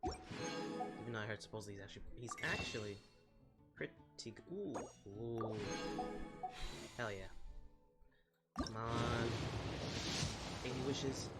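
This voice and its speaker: A male gamer with a middle-pitched voice commentates casually on his play. He rambles in an informal, excitable way. Game music is clearly heard behind him. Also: a little slow, pauses often. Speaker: gaming streamer.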